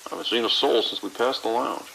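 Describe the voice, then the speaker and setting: Man acting a forceful, sharp patrol officer. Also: mid-range voice, steady tone, fast talker, vocal fry, rough recording. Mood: dry, bored. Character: restless, bored security patrol officer. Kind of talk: audio drama radio report. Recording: faint hiss and rain-like noise.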